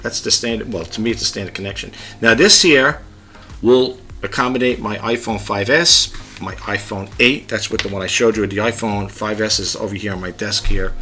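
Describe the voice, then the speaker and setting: Chatty man demoing gadgets, bright tone. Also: moderate pace, faint music behind. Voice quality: low-key, self-described monotone. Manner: chatty, rambling, demonstrating gadgets. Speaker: YouTube vlogger. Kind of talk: casual tech demo vlog. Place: home desk.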